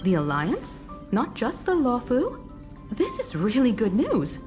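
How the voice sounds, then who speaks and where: Animated, eager young heroine. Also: female voice, fairly high pitch, a little slow, music in the background. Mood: surprised, hopeful. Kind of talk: video game dialogue acting.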